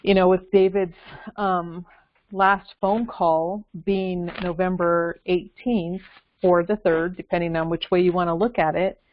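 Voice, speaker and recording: A woman's voice: lowish voice, little pitch movement, a little slow. A woman talking casually as a guest researcher in an investigative discussion, thinking aloud hesitantly. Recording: dull lo-fi call with faint noise, clicks.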